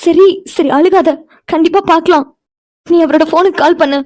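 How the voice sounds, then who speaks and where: A woman's voice: very high-pitched, normal speed, darkish tone. A woman playing an anxious, caring young woman in an emotional audio drama, pleading and fussing earnestly. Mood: concerned, soothing.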